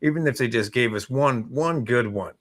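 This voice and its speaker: A man chatting casually as a talk show co-host, agreeable, with loose and halting phrasing. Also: medium pitch, a bit bright. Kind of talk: casual morning show chat.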